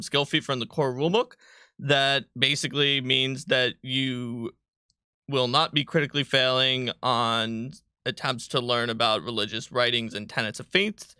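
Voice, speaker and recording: A man's voice: medium pitch, fairly even intonation, moderate pace, bright, forceful tone, smooth, no echo, clean studio sound. A man co-hosting a live stream as a game designer, casually explaining tabletop rules with a pedantic eye for detail.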